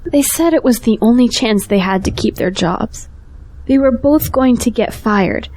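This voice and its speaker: A woman plays a polite young family friend in an audio drama. Her voice is fairly high, and she comes across as tender-hearted and sincere. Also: expressive, unhurried, darkish tone. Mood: sad.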